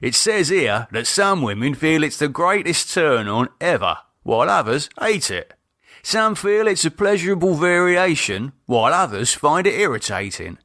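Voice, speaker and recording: Man voicing a cheeky, blustering British pub landlord, animated. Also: on the high side, normal speed, no room sound, clean studio sound, voice pushed up, full character voice. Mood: teasing. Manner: colloquial, bantering, blunt. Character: cheeky middle-aged British pub landlord, teasing, blustering husband and father.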